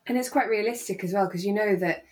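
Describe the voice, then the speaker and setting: A woman's voice: mid-range voice, quick pace, darkish tone. A British woman co-hosting a podcast, talking in a casual, conversational way. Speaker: podcast co-host. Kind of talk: casual chat.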